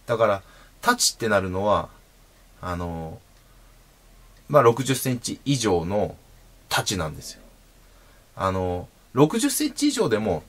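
A man in his thirties hosting his own channel, explaining sword types patiently to camera from a small room, with frequent fillers and pauses as he demonstrates. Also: medium pitch. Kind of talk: casual explanatory talk to camera. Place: small indoor room at home.

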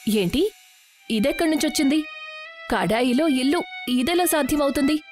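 Casting: grasping storybook landlady, a woman acting, demanding and crafty, higher pitch, music behind. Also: moderate pace, a little mellow, lightly acted. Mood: indignant. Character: greedy, scheming female landlady and shopkeeper.

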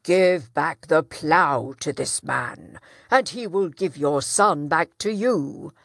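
Lady doing a stern judge guy, slow and measured, dry sound. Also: low-pitched, vocal fry, dry recording, full character voice. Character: stern male judge questioning the traders.

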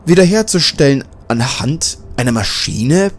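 A man's voice: mid-range voice, very animated, measured pace. A man voicing an inquisitive male journalist. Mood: questioning. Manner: questioning, slightly hesitant. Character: curious male journalist, probing and practical. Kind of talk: audiobook character voice.

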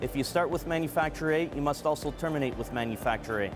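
A man in his forties, a product manager, giving a measured, earnest scripted product presentation at a trade show booth on a lapel mic. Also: on the high side. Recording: clean voice over background music.

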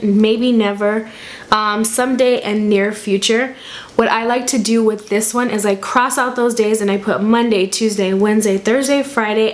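A young woman talks casually to a camera in a small room at home, her voice a little hoarse and deep from a cold. She rambles in a friendly, chatty way, full of ums and quick restarts, at an ordinary middle pitch. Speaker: vlogger. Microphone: camera in front at desk distance.

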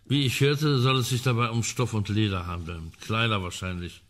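A man's voice: fairly low pitch, steady tone, normal speed, fairly bright tone, lightly acted. An actor playing a well-informed male amateur sleuth in a radio crime drama, talking in a dry, precise, ironic way. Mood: matter-of-fact.

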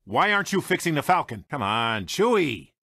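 A man's voice: on the high side, very animated, normal speed, pushed and bright, dry recording, clean studio sound, full character voice. A man playing a brash, wry smuggler pilot with an American accent and a casual, wisecracking manner, in short video game lines. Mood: teasing, wary. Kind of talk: video game character voice clips.

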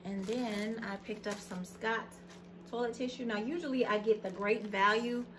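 A woman's voice: fairly high pitch, fairly even intonation, moderate pace, fairly bright tone, a little hiss or hum, poor audio. A woman in her thirties with an American accent, chatting casually and folksily to camera as she shows products. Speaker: vlogger. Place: indoors in a plain-walled room at home.

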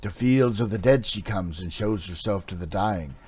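A man's voice: fairly low pitch, normal speed, darkish tone, husky. A man voicing a wild-eyed older warrior telling a legend in a read-aloud fantasy story. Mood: fervent. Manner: fervent, wild.

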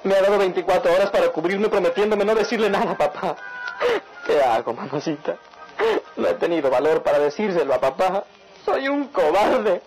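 An actor playing a desperate, ashamed young son in a radionovela, pleading in anguish. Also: male voice, sits high, expressive, normal speed, darkish tone, low-quality recording. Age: young adult. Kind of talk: radionovela melodrama acting. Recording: radio drama over background music.

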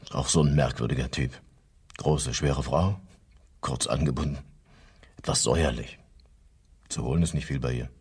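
An actor playing a matter-of-fact male local police inspector in a German radio crime drama, with a husky voice. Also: moderate pace, long pauses, darkish tone, full character voice. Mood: sober. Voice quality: husky, very deep.

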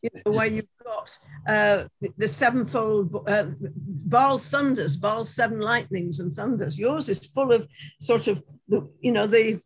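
A woman's voice: medium pitch, measured pace, husky, rough recording. An older woman, a professor, discussing in a conversational way with frequent affirming murmurs, on a video call from a book-lined study at home.

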